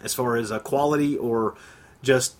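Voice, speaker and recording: A man's voice: mid-range voice, fairly even intonation, normal speed. A man hosting a podcast in casual chat, with a bit of sinus congestion, rambling with a self-deprecating manner. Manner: rambling, self-deprecating, many fillers and restarts. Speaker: podcast host.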